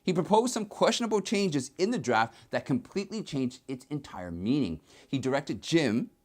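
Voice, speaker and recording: A man's voice: on the high side, sing-song, normal speed, a little mellow. A man presenting scripted political news commentary in an emphatic, explanatory manner.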